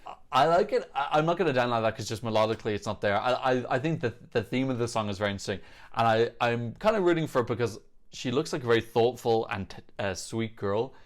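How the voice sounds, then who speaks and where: A man's voice: mid-range voice, fast talker. A man giving casual, off-the-cuff reaction commentary in a chatty, opinionated way, thinking aloud as he goes. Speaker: host of a music reaction show. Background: songs from music videos being reviewed.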